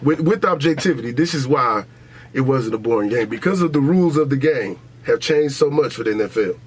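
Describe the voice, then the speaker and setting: A man's voice: mid-range voice, animated, fairly quick, husky. A man hosting a casual podcast, arguing in a brash, opinionated, profane way. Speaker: podcast host. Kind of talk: casual, heated sports and culture debate.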